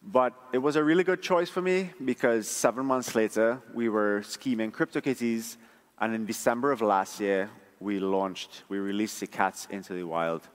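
A man delivers a conference talk. He explains things in a measured, conversational way. Long reverb from a large hall trails his words. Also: lowish voice, smooth. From Trinidad. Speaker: conference speaker, front-end engineer.

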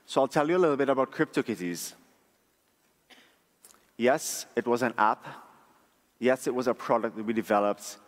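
A man from Trinidad gives a prepared conference talk in a measured, conversational, explanatory way. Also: medium pitch. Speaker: conference speaker, front-end engineer. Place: large conference room. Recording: echoey hall, long reverb.

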